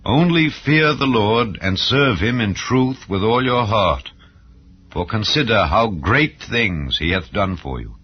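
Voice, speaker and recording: A man's voice: fairly low pitch, animated, takes it slowly, slight background noise. A man voicing a stern, solemn prophet who exhorts his people in a declamatory scripture reading. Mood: solemn exhortation. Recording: dull, lo-fi audio.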